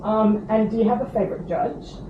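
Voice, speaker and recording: A woman's voice: fairly high pitch, moderate pace. A woman interviewing a young barrister, asking polite questions with many fillers. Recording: phone-like, echoey, noisy.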